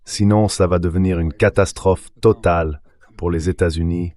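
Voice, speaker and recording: A man delivering a measured, explanatory political analysis as an interview guest, in a dubbed translation. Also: low-pitched, steady tone, darkish tone.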